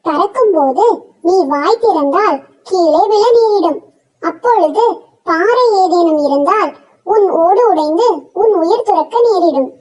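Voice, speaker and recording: A woman's voice: extremely high pitch, lively intonation, unhurried, a little mellow, distant mic, lo-fi sound. A woman voicing a young duck in a children's moral story, practical and cautioning, with a thin childlike voice.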